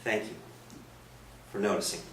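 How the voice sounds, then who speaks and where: An actor in a staged play reading playing a mild, bashful priest, polite and hesitant, gently eager to help. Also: male voice, moderate pace, lightly acted. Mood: bashful, smitten. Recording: echoey stage, distant mic, noisy room.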